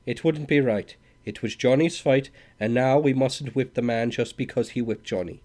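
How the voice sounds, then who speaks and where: A man's voice: mid-range voice, moderate pace, darkish tone, close to an everyday voice. A man voicing a mournful, stubborn old Irish innkeeper with a brogue, who pleads and wails. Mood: mournful firm. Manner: pleading, wailing.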